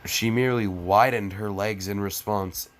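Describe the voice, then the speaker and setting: Male comic reader, lowish voice, sarcastic and theatrically exasperated, crude asides. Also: fairly even intonation, moderate pace. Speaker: comedic fan fiction reader. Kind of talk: mocking dramatic reading with exasperated ad-libbed commentary.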